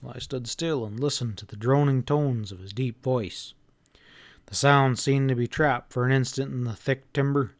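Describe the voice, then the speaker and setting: A man narrates a classic novel in the first person. His mid-pitched voice is folksy and expressive, leaning into the drama of the telling. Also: moderate pace. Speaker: audiobook narrator.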